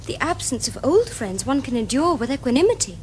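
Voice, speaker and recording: A woman's voice: sits high, sing-song, fairly quick, slight background noise. An actress playing a prim, romantic young Victorian lady in a period comedy, with precise, formal Received Pronunciation. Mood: prim, eager. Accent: English Received Pronunciation. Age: young adult. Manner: precise, formal, earnest diction. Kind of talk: period stage comedy acting for television.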